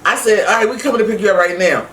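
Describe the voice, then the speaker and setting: A middle-aged woman telling a personal story casually to her viewers, emphatic and animated, acting out the dialogue as she goes. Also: medium pitch, racing. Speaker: vlog host. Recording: roomy, distant mic with faint noise.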